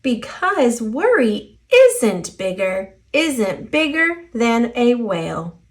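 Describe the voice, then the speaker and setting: A woman with an American accent reading a children's picture book aloud in an expressive, singsong rhyming delivery. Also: fairly high pitch, takes it slowly. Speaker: storybook reader.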